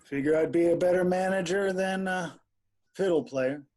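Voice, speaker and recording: A man's voice: sits high, normal speed, darkish tone, lightly acted. A man playing a sleazy band manager in his mid-sixties, with a folksy drawl, in a screenplay table read. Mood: folksy, sly. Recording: remote virtual table read.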